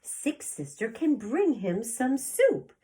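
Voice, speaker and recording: A woman's voice: sits high, big pitch swings, slow pace, warm tone, voice pushed up, exaggerated voice. A woman voicing bold young girls from a storybook with emphatic calls. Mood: reassuring.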